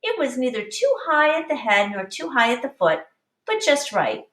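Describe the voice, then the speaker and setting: A woman teacher reading a picture book aloud to young children with deliberate, explanatory expression. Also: high-pitched, very animated, fairly bright tone. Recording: echoey room, distant mic.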